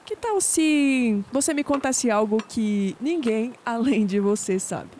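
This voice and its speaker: Uma atriz brasileira interpretando uma jovem cética, curiosa e sarcástica numa áudio série, em tom questionador. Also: female voice, on the high side, expressive, slow pace, darkish tone, slight background noise. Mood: wry, challenging. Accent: Brazilian. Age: young adult. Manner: wry, questioning. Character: skeptical, sharp-witted young woman, curious and sarcastic. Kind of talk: audio drama dialogue.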